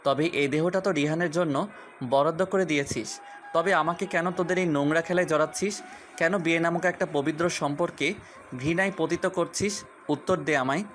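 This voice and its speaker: A man's voice: a little high, steady tone, moderate pace, a bit bright, faint music behind, close to an everyday voice. A man playing a possessive, jealous young fiancé in a romantic audio story, with a taunting, commanding, accusatory manner. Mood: bitter, scornful.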